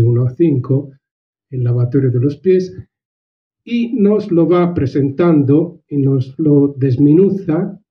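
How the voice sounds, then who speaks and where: A man giving a reflective book presentation, talking in a measured, earnest way with restarts and drawn-out words. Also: medium pitch. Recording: dull lo-fi recording.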